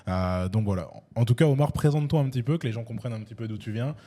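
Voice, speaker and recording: A man's voice: mid-range voice, animated, very fast, mellow. A young man hosting a casual sit-down interview, talking loosely with restarts and fillers. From France. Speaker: host and e-commerce coach.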